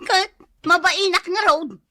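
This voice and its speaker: A woman's voice: extremely high pitch, slow, bright, forceful tone, heavily acted. A woman playing a nagging, exasperated wife in an Ilocano radio drama, with an Ilocano accent. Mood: angry, scolding.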